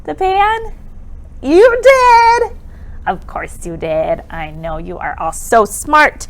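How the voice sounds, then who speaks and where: Slow, animated woman leading children's storytime. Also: high-pitched. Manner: animated, exaggerated exclamations and questions to young children. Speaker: children's library storytime presenter. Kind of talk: interactive children's storytime with counting songs.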